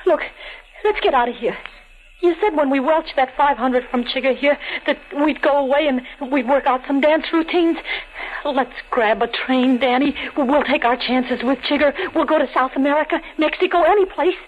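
An actress playing a frightened, pleading gangster's wife with plaintive, slangy delivery in a 1940s radio thriller. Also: female voice, high-pitched, normal speed, natural voice. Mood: pleading, coaxing. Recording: dull old radio broadcast.

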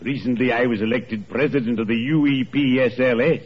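An actor playing a genteel, morbid, drolly solemn undertaker with formal, deliberate politeness in a 1940s radio sitcom. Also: male voice, mid-range voice, steady tone, husky, natural voice. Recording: muffled 1940s radio recording with noise.

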